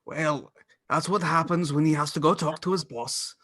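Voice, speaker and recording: Fairly high-voiced theatrical horror host played by a man. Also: fairly even intonation, normal speed, bright, forceful tone. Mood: wry. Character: courtly, theatrical male horror-show count narrating a tale. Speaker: host and narrator. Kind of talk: scripted radio play narration.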